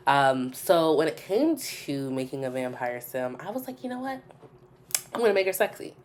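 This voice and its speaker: A woman with a low voice hosts a gaming video in a casual, chatty style. She sounds a little nasal and stuffed up from a cold. Her delivery is informal, and she repeats short phrases to stress a point. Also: big pitch swings, moderate pace, slight background noise. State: congested from a cold. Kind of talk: casual chatty voice-over commentary.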